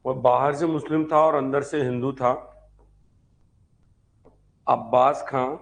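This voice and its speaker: A man's voice: mid-range voice, steady tone, takes it slowly, gravelly. Man teaching a live class. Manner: repeats points and quizzes listeners with questions. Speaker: teacher of an online history exam-prep class. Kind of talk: live lecture with chatty asides to students.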